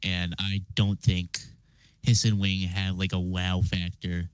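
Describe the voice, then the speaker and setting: A man's voice: sits low, level tone, slow pace, clear, resonant tone, no echo. A man speaking casually as a panelist in a podcast discussion. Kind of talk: casual chat.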